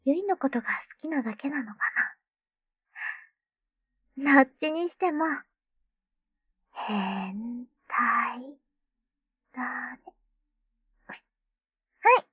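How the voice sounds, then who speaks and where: A woman voicing a teasing, doting schoolgirl little sister in a whispered ASMR roleplay, breathy and playful. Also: high voice, dry recording, studio quality, heavily acted. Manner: teasing, playful, slow and soft. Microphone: close microphone.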